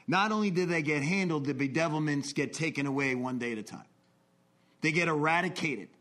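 A man in his forties speaks with an earnest, confessional pull. His voice sits a touch higher than most men's, rising into intense bursts and dropping to hushed asides as he tells his story. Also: a little fast. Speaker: recovery speaker. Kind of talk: personal testimony talk.

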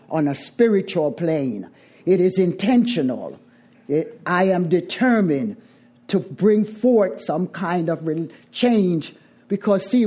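A middle-aged Jamaican woman addresses a recovery meeting. Her voice is dark and mellow, with soft edges. She speaks deliberately and with emphasis, circling back over phrases to give them weight. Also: lowish voice. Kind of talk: twelve-step recovery talk to a group.

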